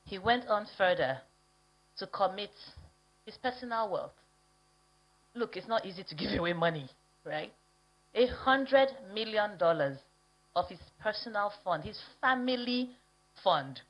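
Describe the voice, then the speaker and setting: A woman speaking as an event host, giving an emphatic, deliberate, celebratory stage introduction. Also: medium pitch, animated, highs cut off.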